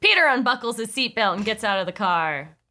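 A woman's voice: on the high side, very animated, fairly quick, sharp tone. A woman narrating a comedy script table read playfully, with casual, amused asides.

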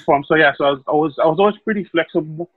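A man's voice: a little high, racing, no echo. Man on a tinny call line. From Hawaii. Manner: rambling, many fillers and restarts. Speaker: podcast guest. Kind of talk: casual storytelling.

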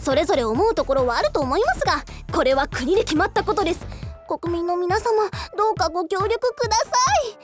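A woman's voice: very high voice, expressive, fairly quick, soft background music. A woman playing a breezy, officious government moderator, announcing politely and theatrically in a radio drama. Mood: cheerfully officious. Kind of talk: radio drama narration and acting.

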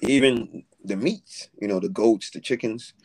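A man's voice: fairly low pitch, a little slow, low-quality recording. Haitian-born man hosting a casual interview. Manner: warm, affirming, calls guest darling. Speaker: podcast host.

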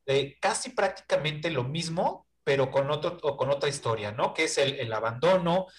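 Hesitant Mexican man with a higher voice. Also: animated, normal speed. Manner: hesitant, searching for words, often seeking agreement. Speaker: panelist in a film discussion. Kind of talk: casual film discussion.

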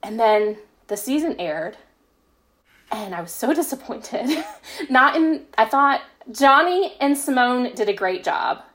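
A woman's voice: on the high side, lively intonation, a little slow, fairly bright tone. Gushing woman in casual podcast chat. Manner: gushing, emphatic enthusiasm. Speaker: interviewed fan fiction author.

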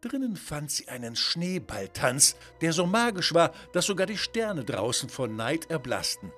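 Male narrator for a kids' audio tale, very animated, slightly high voice, playful delivery over music. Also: a little slow. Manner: expressive, theatrical. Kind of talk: playful scripted children's story narration.

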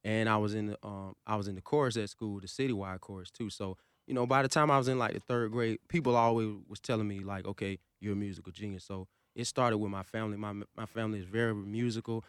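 Male guest, rapid storyteller, low resonant voice, dry sound. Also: steady tone, clean tone, no room sound. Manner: fast storytelling, many "you know" fillers. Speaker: interview guest, singer. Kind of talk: casual interview. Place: podcast studio.

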